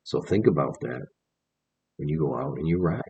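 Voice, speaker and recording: A man in his forties with a very low, gravelly voice talks earnestly to camera, rambling and restarting as he goes. His tone is husky, and the audio is dull and lo-fi. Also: expressive, normal speed, dark tone. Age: 40s. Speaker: vlogger. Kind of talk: casual vlog monologue. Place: indoors, on camera.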